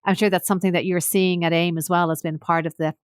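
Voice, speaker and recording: Measured woman interviewer, dry sound. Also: fairly low pitch, level tone, clean tone, no room sound. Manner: measured, articulate, formal phrasing. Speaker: podcast host. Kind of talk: interview questions.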